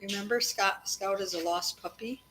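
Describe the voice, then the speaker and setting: Female read-aloud storyteller, mid-pitched, conversational with young listeners, some background noise. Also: normal speed, pushed and bright, rough recording. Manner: breaks off to address and question children.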